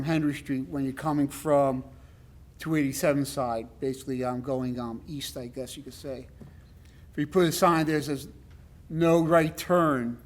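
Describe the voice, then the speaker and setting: A man with a loud voice giving a rambling, emphatic public comment at a town meeting, with many fillers. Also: fairly high pitch. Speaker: resident speaking at public comment.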